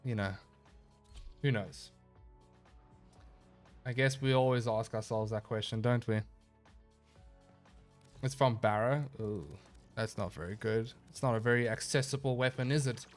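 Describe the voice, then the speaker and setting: A man streaming a video game live, chatting casually and answering his chat in a relaxed, rambling way, sounding a little stuffy and nasal. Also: medium pitch, a little slow, long pauses, faint music behind. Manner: laid-back, rambling, reads chat aloud and answers.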